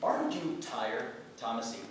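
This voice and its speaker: An actor playing a stern, self-righteous Puritan man in a staged play reading, formal, deliberate and bitterly moralizing. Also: mid-range voice, wildly swooping pitch, crowd noise. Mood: restrained, weary. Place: stage in a theatre. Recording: roomy far-miked theatre, faint noise.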